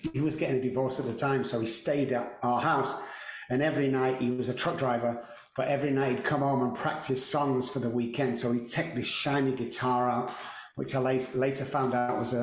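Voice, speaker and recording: A man's voice: mid-range voice, level tone, normal speed, a little hiss or hum. Mancunian man telling stories, echoey room. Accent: Mancunian English. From England. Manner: chatty, joking, self-deprecating. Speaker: podcast guest. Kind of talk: casual storytelling interview.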